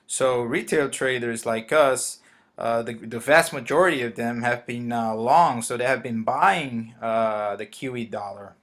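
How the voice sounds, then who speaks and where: A man with a Brazilian Portuguese accent talks through a chart with many pauses and restarts. His pitch sits in the middle of the male range. He speaks English as a second language, carefully and without polish, as if recording at his desk. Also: a bit bright. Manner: hesitant, many fillers and restarts. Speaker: forex trader. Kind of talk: screen-recorded trading walkthrough.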